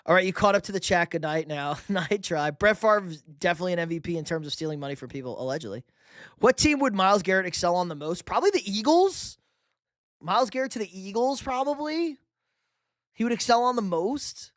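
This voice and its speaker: Male sports streamer, slightly high voice, dry close mic, loose and profane, riffing with chat. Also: lively intonation, fairly quick, fairly bright tone, dry recording. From New York. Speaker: livestream host. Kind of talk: casual live stream chat reading viewer questions.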